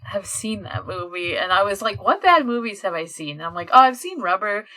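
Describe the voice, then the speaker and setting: Female podcast co-host, informal and animated, bright tone with lively pitch swings. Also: brisk, rough recording. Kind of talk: casual chat.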